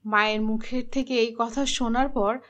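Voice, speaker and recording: High-voiced woman narrating a fairy tale. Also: steady tone, normal speed, fairly bright tone. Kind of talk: scripted storybook narration.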